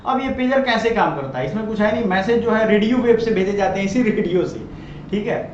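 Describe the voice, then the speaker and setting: A man's voice: high-pitched, animated, fast talker. A man giving a conversational current-affairs lecture as a teacher, mixing in English and checking in with listeners. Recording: echoey room, muffled, loud background noise.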